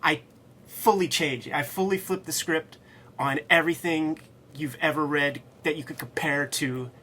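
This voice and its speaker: A man's voice: fairly high pitch, fairly even intonation, moderate pace, pushed and bright, a little hiss or hum. A man co-hosting a casual comics discussion, enthusiastic and slangy, leaning hard on his points. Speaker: co-host.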